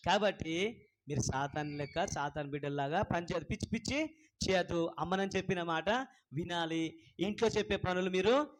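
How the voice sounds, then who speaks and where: A man's voice: high voice, normal speed. A man hosting a children's puppet show, encouraging and instructive, often putting questions to the kids. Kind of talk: animated children's ministry presenting.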